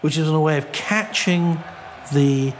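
Halting male expert, crowd behind. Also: fairly high pitch. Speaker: interviewed expert. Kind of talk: unscripted explanatory interview. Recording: crowd noise, translator talking over him.

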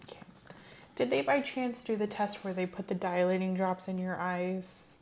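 A young woman acting as a calm, methodical female clinician in an ASMR exam roleplay. She speaks softly at a middling pitch, quiet and reassuring, in a small room with some faint background noise. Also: moderate pace, close to an everyday voice. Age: young adult. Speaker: ASMR host playing a medical examiner. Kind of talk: soft-spoken ASMR medical roleplay.

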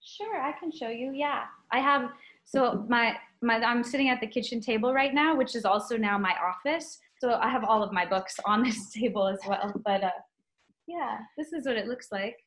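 A young American woman, a writer and professor, chatting in a relaxed, friendly way from her kitchen table over a video call. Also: a little high, moderate pace. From United States. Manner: friendly, relaxed, uses "sort of" and "um" a lot.

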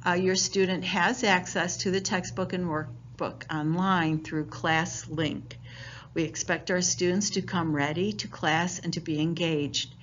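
A woman's voice: on the low side, steady tone, measured pace, fairly bright tone. A woman teacher welcoming parents to a class and explaining how it runs, in a friendly, informal way with some fillers. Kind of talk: virtual parent night presentation. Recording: dull lo-fi home recording, faint hiss.

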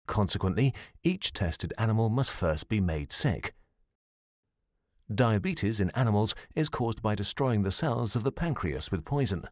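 A man reading scripted documentary narration in a measured, formal and serious way, as a studio voice-over. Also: on the low side, no room sound.

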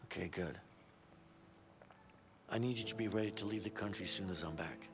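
A low male voice from an actor plays a determined, protective father in a game drama. He talks plainly and reassuringly, with clear music behind him. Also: a little fast, natural voice. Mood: serious. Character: calm, determined man, a protective father and fugitive. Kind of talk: naturalistic video game cutscene acting.

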